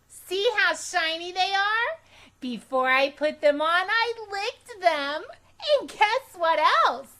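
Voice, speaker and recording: A woman voicing a bold, stubborn, excitable five-year-old girl in a children's storybook, high and loud, with blunt, childish phrasing. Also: takes it slowly, heavily acted. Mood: proud, boastful. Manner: blunt, emphatic, childish grammar.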